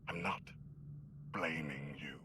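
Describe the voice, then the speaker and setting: Slow, low, forceful menacing emperor. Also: male voice, a little hiss or hum, exaggerated voice. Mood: cold, controlled menace. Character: menacing, power-hungry male emperor scheming coldly. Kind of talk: video game cutscene acting.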